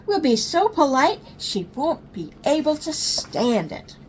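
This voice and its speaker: Storybook sister cub, voiced by a woman: young, prim, overly courteous, raised pitch, animated. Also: unhurried, slight background noise, rough recording. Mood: prim, polite. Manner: exaggeratedly courteous. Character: super-polite young sister bear cub.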